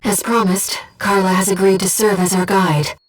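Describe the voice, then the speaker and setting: A woman voicing a gentle, earnest disembodied being in a video game, guiding a pilot with composed, precise, softly formal delivery. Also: medium pitch, flat delivery, moderate pace, far from the mic, soft background music, close to an everyday voice, poor audio. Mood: composed, focused. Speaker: mission support operator. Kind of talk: scripted video game voice acting.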